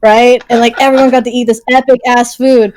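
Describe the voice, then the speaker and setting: High-voiced young woman, rambling. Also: flat delivery, a little fast, a little mellow, a little hiss or hum. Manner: rambling, heavy "like", swears freely. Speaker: podcast co-host. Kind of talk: casual group chat.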